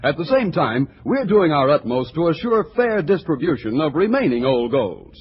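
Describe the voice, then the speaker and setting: An American man announcing and pitching products in a folksy 1940s radio style. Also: sing-song, normal speed, slightly rough. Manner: folksy salesmanship. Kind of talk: 1940s radio advertising read. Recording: dull 1940s radio recording with faint music.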